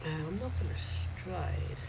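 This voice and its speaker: Gravelly voice, man, live card game commentary, chatty, noisy background. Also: a little high, expressive, unhurried. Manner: chatty, thinks aloud while playing, answers chat. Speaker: streamer playing a card game. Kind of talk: casual live-stream commentary.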